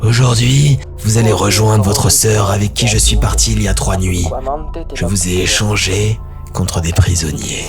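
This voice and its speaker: Man acting a menacing alien warlord, low voice. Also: steady tone, normal speed, close to an everyday voice. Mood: mock cheer. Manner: commanding, threatening. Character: menacing alien warlord, cold, scornful, vulgar male commander. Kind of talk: dramatic audio fiction acting. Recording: loud music under the voice.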